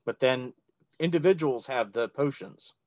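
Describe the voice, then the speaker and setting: A man's voice: medium pitch, animated, a little slow, a little mellow, dry recording. A man playing a tabletop role-playing game, speaking casually.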